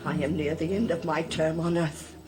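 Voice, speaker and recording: A woman playing a centuries-old scheming servant of dark powers in a gothic soap opera, pleading and menacing with formal, imperious phrasing. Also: low voice, fairly even intonation, normal speed, music in the background, close to an everyday voice.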